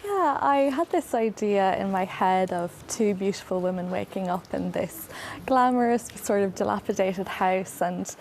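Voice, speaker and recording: A woman, a debut novelist and teacher, answering interview questions in a thoughtful, measured way. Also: mid-range voice, lively intonation, a little mellow, a little hiss or hum.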